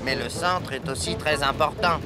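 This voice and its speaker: A man plays a proud steam engine in a children's cartoon, forever bickering with his brother. The voice sits a little high and sounds huffy and argumentative. Loud music runs behind it. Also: moderate pace, close to an everyday voice. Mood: firm, hesitant.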